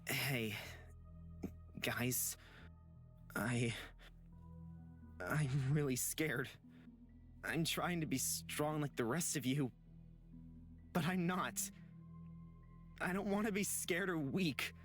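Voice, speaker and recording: An earnest, anxious young man in a visual novel, voiced by a male actor. He speaks slowly and sincerely, with hesitations, over faint background music. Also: fairly high pitch, fairly bright tone. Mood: scared. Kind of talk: visual novel game voice acting.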